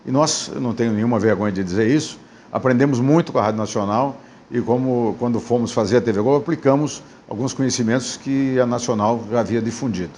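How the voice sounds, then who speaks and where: A man's voice: medium pitch, quick pace, darkish tone, slight background noise. A man speaking formally and composedly in a documentary interview.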